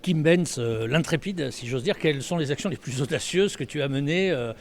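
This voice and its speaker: Lively man moderating a panel. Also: on the high side, a little fast, a little hiss or hum. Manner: lively, prompting, frequent backchannels.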